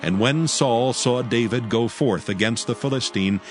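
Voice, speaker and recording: A man's voice: mid-range voice, normal speed. A man narrating scripture as storyteller in a dramatized reading. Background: background music.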